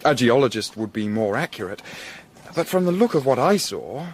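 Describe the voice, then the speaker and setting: An actor playing a young, courteous but determined Victorian gentleman investigator with an educated English accent, speaking politely and with dry irony. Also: mid-range voice, big pitch swings, a little hiss or hum, natural voice. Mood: calmly accusing. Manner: polite, measured, dryly ironic. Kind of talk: period radio drama acting.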